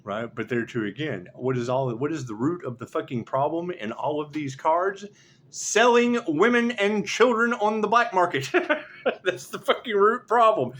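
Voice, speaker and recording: Sarcastic, swearing man, lively pitch. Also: normal speed. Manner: profane, sarcastic, laughs often. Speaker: tarot reader. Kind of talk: rambling monologue.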